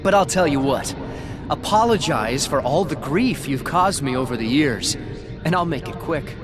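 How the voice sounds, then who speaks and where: Man playing a smug, high-voiced theatrical villain. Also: animated, over music, lightly acted. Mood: mocking menace. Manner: taunting, drawn-out, pompous. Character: smug, theatrical male corporate executive villain. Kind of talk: video game cutscene acting.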